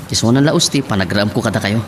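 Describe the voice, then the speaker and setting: A man's voice: on the low side, quick pace, a little hiss or hum, natural voice. An actor playing a respectful, deferential younger man in an Ilocano radio drama.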